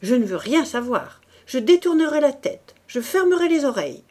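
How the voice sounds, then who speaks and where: A woman voicing a stern, forceful male priest with an urgent, commanding manner, in dramatic audiobook style. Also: mid-range voice, expressive, normal speed, lightly acted. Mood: violent command. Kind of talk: dramatic audiobook character acting.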